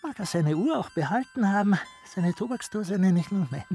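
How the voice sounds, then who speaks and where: A crude, scheming rascal in a period radio comedy. He blusters and rants with very lively, jumping pitch, then turns oily and servile. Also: normal speed, faint music behind. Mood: sly, obsequious. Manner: ranting, blustering, mock-obsequious. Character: coarse, bigoted, sly rogue posing as a servile simpleton. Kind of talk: German stage comedy radio acting.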